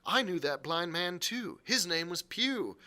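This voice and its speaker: A man voicing an earnest, polite and excitable young cabin boy in an audiobook. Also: high-pitched, measured pace, pitched above the performer's own voice, heavily acted. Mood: eager. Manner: polite, eager. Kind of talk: audiobook character acting.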